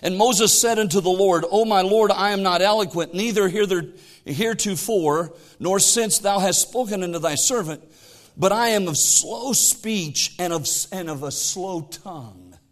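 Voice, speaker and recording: A middle-aged man preaching a sermon as pastor, swinging between loud exhortation and quiet asides, reading scripture aloud in a raised voice. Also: high voice, moderate pace.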